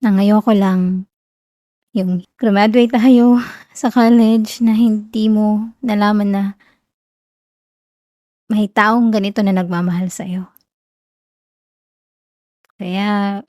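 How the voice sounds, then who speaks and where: A young Filipino woman acting a whiny, shy tsundere college girl confessing love in a soft, hesitant ASMR roleplay. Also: mid-range voice, steady tone, slow pace, pauses often, no room sound, clean studio sound, lightly acted. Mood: wistful, tender.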